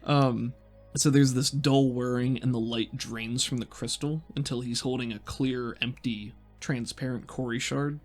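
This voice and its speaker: A man with a gravelly, mid-pitched voice improvises narration as a game master. He describes scenes conversationally, working out the details as he goes, over quiet background music. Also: steady tone, a little slow. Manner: descriptive, conversational, thinking aloud.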